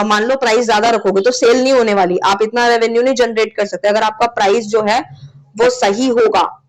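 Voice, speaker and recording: A woman's voice: fairly high pitch, rough recording. A woman teaching an exam-prep lecture in a chatty way, often checking in with her students, her voice a little sore. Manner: chatty, repeats "theek hai" often. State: sore throat.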